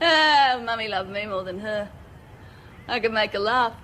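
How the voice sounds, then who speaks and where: A woman's voice: on the high side, sharp tone, slight background noise, pitched below the performer's own voice. A woman voicing a cruel, sneering alter ego, taunting and contemptuous.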